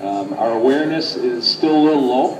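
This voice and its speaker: A man getting over a cold, his voice a little raspy, giving a halting product presentation full of fillers on a convention floor. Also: mid-range voice. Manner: halting, many fillers and restarts. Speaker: company product spokesman. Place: large indoor convention center show floor. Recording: echoey convention hall, distant mic, loud crowd noise.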